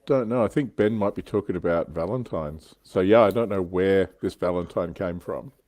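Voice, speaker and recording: A man's voice: sits low, moderate pace, a little mellow, dry recording. A middle-aged man with an Australian accent, swapping anecdotes casually in a wry, colloquial way.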